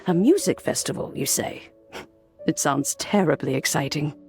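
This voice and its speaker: A woman's voice: deep voice, animated, faint music behind. A gracious elderly grandmother. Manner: genteel, warmly teasing, unhurried. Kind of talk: video game voice acting.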